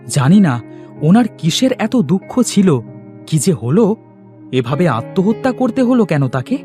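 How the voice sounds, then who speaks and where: A man voicing a poor, honest village father in a children's fairy tale cartoon, earnest and expressive. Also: sits high, measured pace, soft background music. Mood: puzzled.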